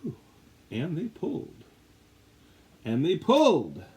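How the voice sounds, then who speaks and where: A man with a husky voice reading a children's storybook aloud, with dramatic, drawn-out emphasis. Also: fairly high pitch, wildly swooping pitch, a little mellow. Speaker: story reader. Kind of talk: animated read-aloud of a children's picture book.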